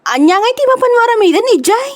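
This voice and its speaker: A woman's voice: very high-pitched, big pitch swings, quick pace. A woman playing a nosy, envious female neighbour in a comedy audio drama, gossipy and exclamatory, with an Ilocano accent. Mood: prying.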